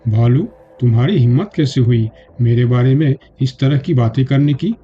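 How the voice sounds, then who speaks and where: A man playing proud, powerful jungle animals in an animated fable, with a boastful, declamatory way of talking. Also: medium pitch, moderate pace, husky, muffled recording, close to an everyday voice. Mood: indignant. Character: proud, powerful male jungle animals: lion king, bear. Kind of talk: Hindi animated fable dialogue.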